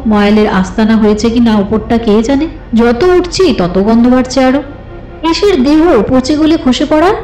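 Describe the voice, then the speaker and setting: A woman reading a suspense story as a dramatic, scripted literary narration in an expressive, deliberate way. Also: fairly high pitch. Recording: slightly roomy, with music behind. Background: soft background music.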